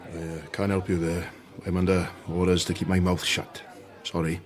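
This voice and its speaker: An actor playing a dry-witted, guarded doctor in a period radio drama, speaking bluntly. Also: male voice, low-pitched, flat delivery, moderate pace, close to an everyday voice. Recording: music behind the voice.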